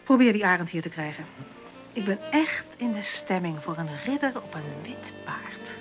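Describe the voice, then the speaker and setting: A woman's voice: lowish voice, lively intonation, moderate pace, over music, natural voice, low-quality recording. A woman playing a world-weary, ironic and brusque police inspector with cultured airs in a Dutch radio play. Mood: wry, dreamy.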